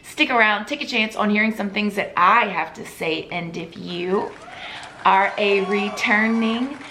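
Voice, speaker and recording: A young woman vlogging to camera, hoarse from losing her voice and chatty, pleading earnestly with her viewers. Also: mid-range voice, unhurried, sharp tone, slight background noise, rough recording. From Florida. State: losing her voice, sore throat. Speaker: YouTube vlogger. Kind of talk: casual chat to camera.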